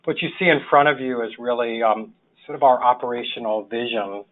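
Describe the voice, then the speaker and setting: A sixty-year-old man with a New York accent giving an informal slide presentation in a halting, reflective way as a webinar presenter. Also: mid-range voice. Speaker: webinar presenter, public health social worker. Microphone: phone or webinar call. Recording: thin phone-line webinar audio.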